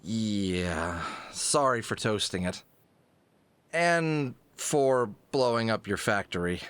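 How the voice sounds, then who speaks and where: A man's voice: on the low side, lively intonation, takes it slowly, a bit bright, clean tone, no room sound. A man playing a sheepish, well-meaning fox adventurer in an audio drama, talking in a casual, wry, hesitant way. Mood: apologetic.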